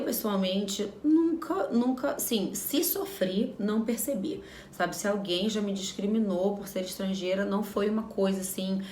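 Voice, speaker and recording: A woman's voice: mid-range voice, darkish tone, echoey room, a little hiss or hum. A Brazilian woman in her thirties talking frankly to camera in a casual vlog, repeating phrases for emphasis. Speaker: vlogger.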